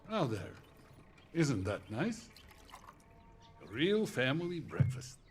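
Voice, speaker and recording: A man's voice: mid-range voice, sing-song, takes it slowly, a little hiss or hum. An actor playing a protective, affectionate older Russian father with a Russian accent in a video game. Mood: fond, teasing. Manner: gentle, teasing, fatherly. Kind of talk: dramatic video game acting. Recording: music behind the voice.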